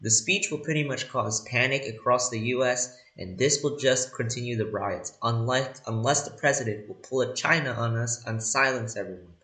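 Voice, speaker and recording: A man's voice: mid-range voice, normal speed, hardly any pauses, pushed and bright, lightly acted. A man playing an indignant news anchor who comments on live events in an emphatic, incredulous, conversational way, recorded in a roomy space.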